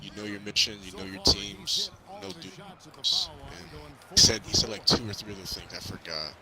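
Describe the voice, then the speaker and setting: A man casually recapping a movie in a halting, rambling way, repeating himself as he goes. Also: on the low side, big pitch swings. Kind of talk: casual movie recap chat while playing a video game. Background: crowd noise and basketball bouncing from a game.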